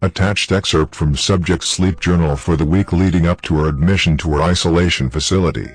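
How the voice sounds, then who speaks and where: A man playing a detached clinical researcher, reading a formal case file in measured horror-story narration. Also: sits low, fairly even intonation, faint music behind. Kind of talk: scripted horror audio narration, formal report.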